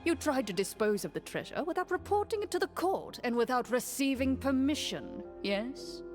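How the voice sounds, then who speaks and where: A woman's voice: sits high, big pitch swings, moderate pace, darkish tone, faint music behind, voice pushed up. A woman voicing a smug, scheming male prime minister with a pompous, condescending manner.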